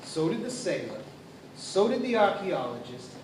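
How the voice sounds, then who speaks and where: A man performs a practical, skeptical detective in a hard-boiled radio drama. He is blunt and wry, with a high, animated voice. The recording is very echoey. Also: normal speed. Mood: exasperated. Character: skeptical, exasperated, practical police detective partner. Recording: echoey, distant, noisy stage recording.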